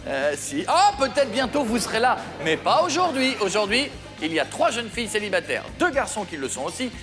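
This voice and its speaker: A man hosting a TV dating game show, exuberant and teasing, often shouting over the studio. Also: sits high, sing-song, fairly quick. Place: TV studio with audience. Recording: studio with music and crowd.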